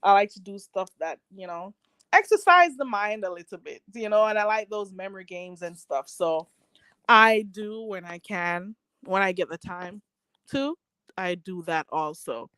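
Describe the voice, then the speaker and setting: A woman hosts a relaxed livestream, reading viewers' comments aloud and wandering from thought to thought. Her talk is full of "you know" and easy laughter. Her voice sits at a middle pitch and sounds dry and close, with no room around it. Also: moderate pace, smooth, no room sound. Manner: rambling, filler-heavy, laughs often. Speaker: livestream host reading chat. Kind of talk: casual chat.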